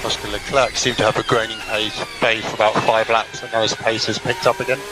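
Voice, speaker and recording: A man's voice: on the low side, level tone, a little fast. A man working as a race engineer, giving clipped, repeated, matter-of-fact instructions over team radio. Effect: team radio transmission. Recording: noisy car team radio, loud engine whine.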